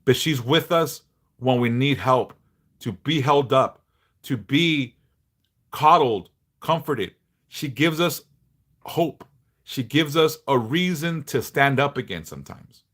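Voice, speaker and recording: Man, middle-aged, big bass-heavy voice, blunt, passionate, casual livestream chat, medium pitch. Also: animated, slow, a bit bright. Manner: blunt, passionate, swears freely. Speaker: livestream host, devotional teacher.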